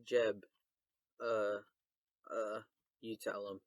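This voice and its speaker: A man playing a gruff, impatient, bickering father in a radio drama. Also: lowish voice, level tone, very slow, dry recording. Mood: awkward, evasive.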